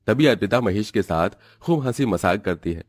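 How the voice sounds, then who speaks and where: Low-voiced man narrating a story. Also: lively intonation, normal speed, no room sound. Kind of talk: scripted cartoon story narration.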